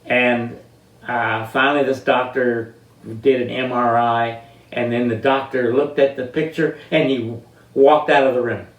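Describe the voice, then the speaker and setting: An elderly man in his eighties from the United States telling personal stories in an unscripted, halting, deliberate way, with long pauses and drawn-out words. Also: medium pitch, fairly even intonation. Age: 80s. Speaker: interviewee telling life stories. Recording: roomy, distant microphone.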